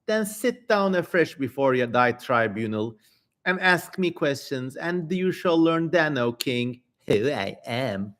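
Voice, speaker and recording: A man voicing a haughty, fierce female demon, slowly declaimed. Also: medium pitch, very animated, fairly bright tone. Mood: commanding. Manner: defiant, haughty, theatrical declaiming. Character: fierce, bratty, defiant female demon spirit of nightmares. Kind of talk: dramatic reading of an ancient text.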